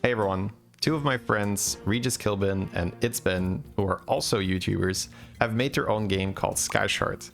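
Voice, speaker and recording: A man giving relaxed, chatty game commentary and answering chat questions, with faint music behind. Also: lowish voice, moderate pace, creaky. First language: Dutch. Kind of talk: casual gameplay commentary.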